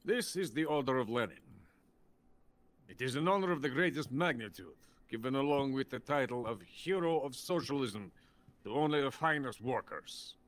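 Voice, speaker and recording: An actor playing a drunken, pompous, bitter older Soviet weapons scientist in a video game. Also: male voice, medium pitch, animated, moderate pace, close to an everyday voice. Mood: boastful, proud. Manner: pompous, boastful. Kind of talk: theatrical video game voice acting.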